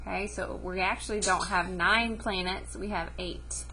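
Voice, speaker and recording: A woman's voice: medium pitch, fairly even intonation, normal speed, sharp tone, a little hiss or hum, poor audio. A woman teacher reading a science book aloud to children, explaining as she goes and checking understanding with short questions.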